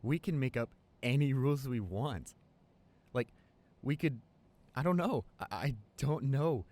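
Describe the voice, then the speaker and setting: A man's voice: medium pitch, expressive, darkish tone. Man playing a giddy, sentimental college boyfriend. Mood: wondering, excited. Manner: gushing, halting, repeats himself in amazement. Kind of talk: improvised ASMR boyfriend roleplay.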